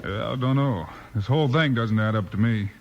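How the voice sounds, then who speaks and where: Actor as steady frontier lawman, muffled old recording. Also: medium pitch, fairly quick, lightly acted. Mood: serious. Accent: American. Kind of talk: 1950s radio western acting. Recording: muffled old radio recording, light noise.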